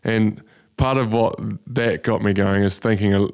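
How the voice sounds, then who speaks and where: A young man, a business founder telling his story in a relaxed way, with many ums. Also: on the low side, moderate pace, clean tone. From New Zealand. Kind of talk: casual interview. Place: studio.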